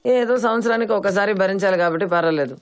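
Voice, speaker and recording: A woman's voice: medium pitch, fast, lightly acted. An actress playing a wry, long-suffering middle-aged mother-in-law in a film drama, speaking in a dry, confiding way. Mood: wry, conspiratorial. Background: background music.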